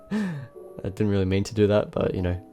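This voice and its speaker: A man with a blocked nose and a slightly nasal voice, giving relaxed, self-mocking voice-over commentary on his own match. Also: fairly low pitch, moderate pace. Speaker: gameplay commentator. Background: faint background music.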